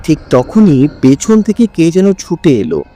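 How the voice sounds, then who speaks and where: Slow, swooping male horror narrator. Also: on the high side, wildly swooping pitch, mellow. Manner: suspenseful, deliberate, short pause-broken phrases. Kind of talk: dramatic first-person scripted narration.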